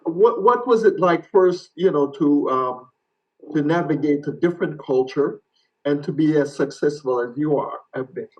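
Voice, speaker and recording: A man's voice: fairly high pitch, normal speed, a little mellow, distant mic, dull audio. A man hosting an interview, asking questions and answering with short, encouraging affirmations. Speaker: interview host.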